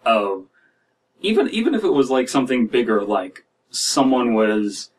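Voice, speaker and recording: A man in his thirties reading and reacting to stories as a podcast host, in a halting, wry, casual way. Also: medium pitch, big pitch swings, breathy edge, recorded from a distance. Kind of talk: casual commentary and story reading. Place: small dim room indoors.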